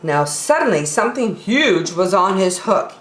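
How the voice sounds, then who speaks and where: A woman's voice: medium pitch, sing-song, moderate pace. A middle-aged woman reading picture books aloud expressively for young children, in a roomy, echoing home recording.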